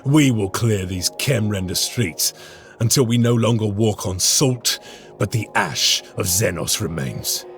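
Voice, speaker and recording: An actor voicing a grave, steadfast soldier lieutenant with a measured, resolute delivery and a gravelly voice. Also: male voice, fairly low pitch, lively intonation, fairly bright tone, faint music behind. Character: grave male space marine lieutenant, steadfast. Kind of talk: video game cutscene voice acting.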